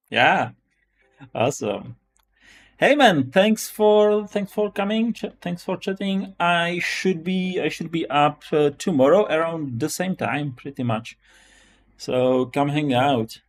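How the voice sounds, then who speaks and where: A man with a Czech accent talks casually on a live stream at an unhurried pace, with faint music behind him. He speaks haltingly, doubling back on words and phrases as he goes. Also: on the high side. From Czech Republic. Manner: halting, repeats and restarts phrases. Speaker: live-stream host, web developer.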